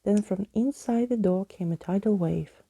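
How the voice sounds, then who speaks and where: A woman reading a storybook as ASMR, in a soft, breathy, gently hushed voice that slips into whispering. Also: medium pitch, normal speed, no echo. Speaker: ASMR reader, narrator.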